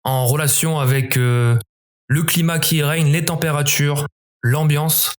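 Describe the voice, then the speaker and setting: A young adult man from southern France, a former special forces member, answering interview questions in a measured, reflective way with frequent restarts. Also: on the high side, little pitch movement. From southern France, near Montpellier.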